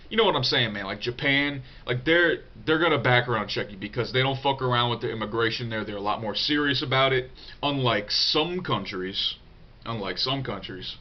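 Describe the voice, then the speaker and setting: A young man talks through a head cold, his voice stuffy and nasal, at an ordinary middle pitch. He answers viewer questions in a loose, profane way, full of fillers and restarts. The recording sounds dull and a little lo-fi. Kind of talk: casual unscripted vlog talk.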